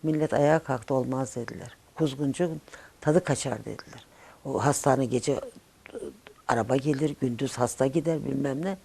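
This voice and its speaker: An older woman with a gravelly, creaky voice. She reminisces casually, telling things plainly in short chatty phrases. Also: a bass voice, moderate pace, dark tone.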